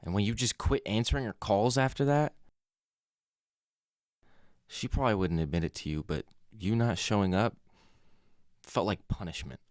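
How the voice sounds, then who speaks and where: An actor playing an earnest, educated brother-in-law who speaks in a measured, careful way, in a naturalistic radio drama. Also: male voice, lowish voice, a little mellow, no room sound, studio quality, close to an everyday voice. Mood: reproachful, frank. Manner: measured, careful, well-spoken.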